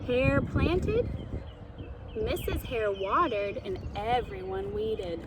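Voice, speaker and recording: A woman narrates a children's storybook with a high voice. She takes her time, reading expressively and explaining like a teacher. Clear ambient noise sits in the recording. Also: big pitch swings, takes it slowly. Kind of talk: storytime read-aloud narration.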